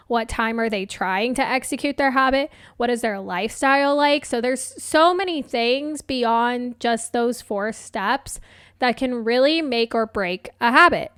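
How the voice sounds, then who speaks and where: A young adult woman hosting a solo coaching podcast, chatty and upbeat with lots of fillers, her voice a bit hoarse. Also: fairly high pitch, a bit bright, dry recording, studio quality. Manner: chatty, upbeat, laughs often, many fillers. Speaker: podcast host and life coach. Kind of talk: casual solo podcast talk.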